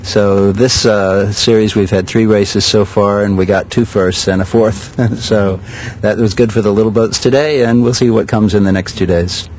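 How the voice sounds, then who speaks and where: An older man with a low, fairly flat voice speaks in a measured, unhurried way and pauses often to search for words. He answers an interviewer's questions outdoors. Faint background noise sits under his voice. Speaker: sailing school director. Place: outdoors at a regatta.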